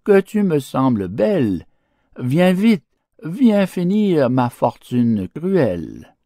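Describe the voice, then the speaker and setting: A man's voice: a little high, sing-song, takes it slowly, warm tone, no echo, clean studio sound, voice pushed up, exaggerated voice. A man voicing a wretched fellow in a fable, pleading with death in a melodramatic, exclamatory way. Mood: yearning plea. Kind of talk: theatrical fable reading.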